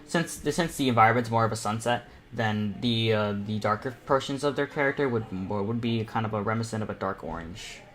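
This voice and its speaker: A man's voice: fairly low pitch, a little fast, few pauses, a bit bright. A young man hosting a casual painting livestream, rambling and thinking aloud as he works. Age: teens to young adult. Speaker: livestream host and self-taught painter.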